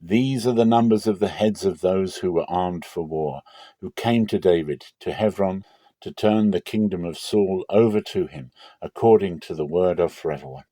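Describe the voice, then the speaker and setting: A man with a low, mellow voice narrates scripture from a script. His delivery is measured, solemn and expressive, recorded close and dry. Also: no room sound, rough recording.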